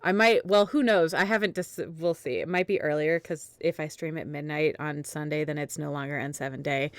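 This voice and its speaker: A woman's voice: sits low, steady tone, fairly quick, clean tone, dry recording. A woman hosting a livestream, chatting casually and effusively in a grateful way. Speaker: streamer and host of a fan fiction reading show. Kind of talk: casual livestream sign-off chat.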